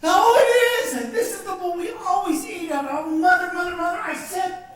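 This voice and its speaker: A woman's voice: very high-pitched, fairly quick. A woman voicing an earnest young man, blind from birth, who has just gained his sight, speaking in a breathless, tearful and ecstatic way, in an old radio drama. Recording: echoey, distant old radio recording.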